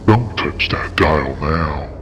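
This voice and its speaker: A man playing an ominous, hypnotic late-night horror radio host, with a theatrical, commanding, drawn-out delivery. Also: rumbling low, expressive, over music, exaggerated voice. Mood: ominous, teasing. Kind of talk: scripted horror show introduction.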